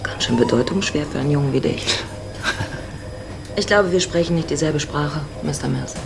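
A woman's voice: low-pitched, moderate pace, gravelly, noisy background. An actress playing a worldly, flirtatious married woman in her forties, sardonic and self-assured, in a radio drama. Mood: teasing. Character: worldly, teasing 45-year-old married Mexican woman.

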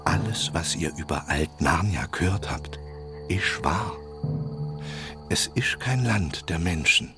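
An actor playing a wise, kindly, wistful old half-dwarf tutor in a children's radio play, speaking solemnly and deliberately. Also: male voice, sits low, expressive, heavily acted. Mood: solemn, reverent. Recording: music behind the voice. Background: clear background music.

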